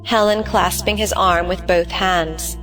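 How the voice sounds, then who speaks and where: A woman's voice: on the low side, steady tone, unhurried, fairly bright tone, soft background music, poor audio. A woman narrating an audio play, reading stage directions in a scripted style.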